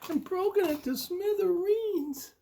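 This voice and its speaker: A man's voice: extremely high pitch, measured pace, gravelly, warm tone, slight background noise, pitched above the performer's own voice, heavily acted. A man voicing an upset little baby bear cub in a children's storybook. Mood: dismayed.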